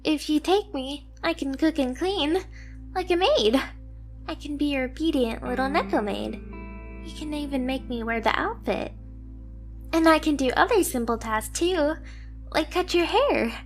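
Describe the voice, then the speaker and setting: A woman's voice: high voice, lively intonation, moderate pace. A woman voicing a submissive, obsessively devoted stray cat girl in an anime ASMR roleplay, with a girlish, eager, self-deprecating manner. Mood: eager pleading. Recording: music behind the voice. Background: music.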